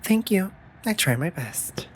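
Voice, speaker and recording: A woman's voice: mid-range voice, big pitch swings, a little slow, a little hiss or hum. A woman voicing a sassy, exasperated but devoted raven familiar in a fantasy audio drama, scolding theatrically in formal phrasing. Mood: teasing. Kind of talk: scripted roleplay audio drama addressed to listener.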